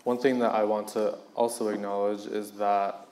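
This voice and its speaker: Low, even-voiced man speaking reflectively. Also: moderate pace, slight background noise. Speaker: panelist. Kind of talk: earnest panel talk, unscripted.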